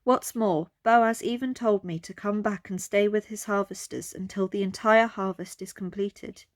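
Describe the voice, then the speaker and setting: Woman reading as young widow in a bible play, humble n grateful, medium pitch. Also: steady tone, normal speed, lightly acted. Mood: reporting gladly.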